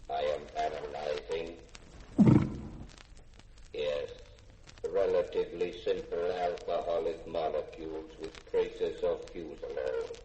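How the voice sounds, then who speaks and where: Robot servant: slow, measured, deadpan and courteous; noisy vintage radio drama recording. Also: male voice, extremely low pitch. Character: polite, literal-minded servant robot, formal and unflappable. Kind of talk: 1950s radio drama acting. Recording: echoey, noisy old radio broadcast.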